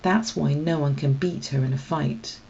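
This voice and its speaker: A woman's voice: low voice, moderate pace, gravelly, dark tone, dull audio. A woman voicing a nervous, easily wounded female cat in a children's storybook, with a dramatic, anxious delivery. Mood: fearful, dramatic. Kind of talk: children's storybook read-aloud.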